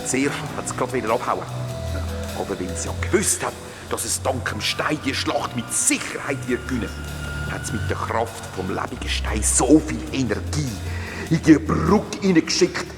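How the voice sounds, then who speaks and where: A man's voice: mid-range voice, lively intonation, moderate pace, natural voice. A man playing a fantasy-world ruler in a Swiss German children's audio drama, telling the tale in an emphatic, theatrical way. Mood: dramatic recounting. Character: grateful fantasy-world ruler freed from a spell, recounting dramatically. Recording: long reverb, music behind.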